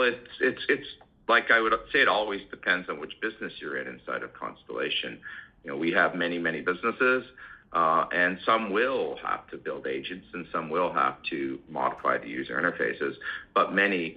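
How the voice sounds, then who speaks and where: Very deep, gravelly man. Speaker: company executive answering questions. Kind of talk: earnings call Q&A, unscripted. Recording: muffled conference call audio.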